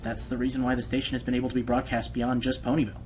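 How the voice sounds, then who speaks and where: A man's voice: mid-range voice, level tone, rapid-fire, close to an everyday voice. A man playing a passionate, self-deprecating male Pegasus radio host in an earnest radio play. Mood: hesitant, uneasy. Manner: earnest, hesitant, turning indignant. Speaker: radio show host being interviewed. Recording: music behind the voice.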